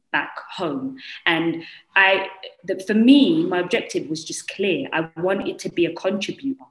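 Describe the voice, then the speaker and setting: A young woman with a strong British accent, telling her story as a panelist on a video call, animated and full of "you know"s. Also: lowish voice, echoey room, rough recording. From United Kingdom. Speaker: panelist, entrepreneur. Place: small room indoors. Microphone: earphone mic on a video call.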